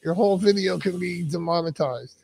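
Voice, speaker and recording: Male host, high gravelly voice, casual and encouraging with his guest. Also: brisk, a crowd in the background. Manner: encouraging, affirming interviewer. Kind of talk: casual interview chat.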